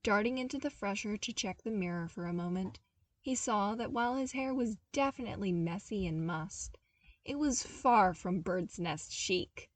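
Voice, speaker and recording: A woman's voice: mid-range voice, expressive, moderate pace, no echo. Woman narrating a story, slightly distant mic. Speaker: podfic narrator reading fan fiction. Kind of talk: expressive scripted story narration.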